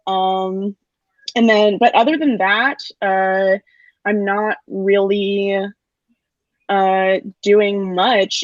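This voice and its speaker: A woman's voice: mid-range voice, no echo. Hesitant young woman, slightly dull dry audio. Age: 20s. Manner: hesitant, thinking aloud. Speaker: actor, graduating theater student. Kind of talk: casual chat.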